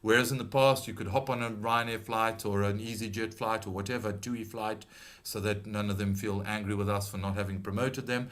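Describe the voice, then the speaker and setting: A middle-aged British man, his accent touched by South African vowels, talks through a webinar presentation. His voice sits fairly low, and he delivers his advice in a measured way, with frequent ums and restarts. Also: fairly even intonation, fairly bright tone. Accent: British with a South African tinge. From Britain. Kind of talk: explanatory webinar talk.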